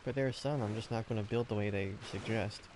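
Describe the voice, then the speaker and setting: A man with a fairly low voice chats loosely as he plays a game. His delivery is offhand and rambling, with hesitant gaps. The recording is noisy, with clear background sound behind him. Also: fast. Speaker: video game streamer. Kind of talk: casual gameplay chat.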